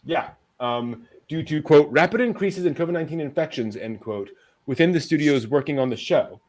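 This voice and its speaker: A man's voice: mid-range voice, darkish tone. A man with a mid-range voice hosts a podcast. He presents news items conversationally, in the informal style of a chat among co-hosts. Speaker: anime news podcast host.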